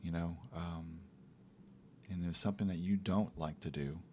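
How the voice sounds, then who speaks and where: A man co-hosting a podcast, talking in a relaxed, reflective way about faith and daily life, sometimes acting out little quotes. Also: low-pitched, normal speed, slight background noise. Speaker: podcast co-host. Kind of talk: casual faith talk.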